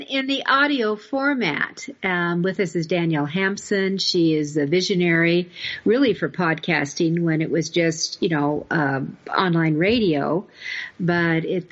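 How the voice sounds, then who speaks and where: A woman with a fairly low voice hosts a conversational podcast interview. She thinks aloud and wanders through her phrasing. The recording is slightly dull and lo-fi. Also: a bit bright. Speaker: podcast host. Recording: dull, lo-fi audio.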